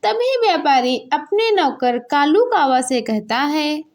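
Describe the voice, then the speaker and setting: Children's cartoon narrator: woman, high voice, scripted storytelling delivery. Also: very animated, a little slow.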